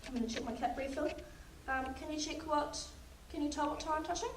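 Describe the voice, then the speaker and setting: A young woman in a paramedic practical exam, calmly talking a patient through each step while losing her voice. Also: sits high, brisk. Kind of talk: narrating a clinical skills demonstration. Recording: echoey, distant, noisy room.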